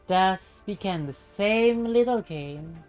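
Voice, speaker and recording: A woman narrates a visual novel like a storybook. Her reading is deliberate and expressive, at a middle pitch, with game music playing behind her. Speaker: streamer.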